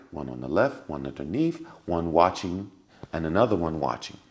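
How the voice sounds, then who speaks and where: A man with a very low, deep voice shares a personal story as a podcast guest. He talks casually and acts scenes out with little sound effects and bursts of laughter. When he repeats what was said, he sinks into a hushed, quiet tone. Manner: drops to a hushed, quiet voice for remembered lines.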